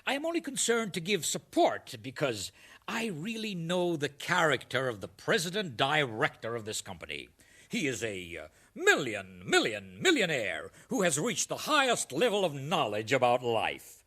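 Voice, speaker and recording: A man's voice: on the high side, wildly swooping pitch, normal speed, bright, forceful tone, heavily acted. A man playing an effusive, pompous foreign ambassador in a satirical radio drama, hesitating theatrically. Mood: gushing. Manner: theatrical, hesitating with fussy ehs and uhs.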